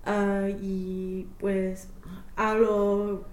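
A woman's voice: medium pitch, flat delivery, drawn-out words, a little mellow, echoey room. A young woman, a native English speaker, answering interview questions as a language learner, hesitant with many fillers and restarts. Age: young adult.

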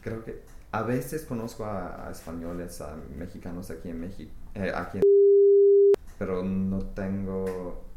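A young man whose first language is English, answering interview questions with many pauses, fillers and restarts. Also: sits low, darkish tone. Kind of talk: research interview. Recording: echoey room, faint hiss.

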